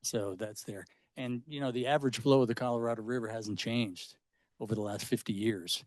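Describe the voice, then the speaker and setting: A man with a mid-pitched voice gives a panel answer as a researcher. He explains technical points in a matter-of-fact, halting way. The sound is dry, with little room echo. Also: steady tone, darkish tone. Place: indoor public panel discussion at a library.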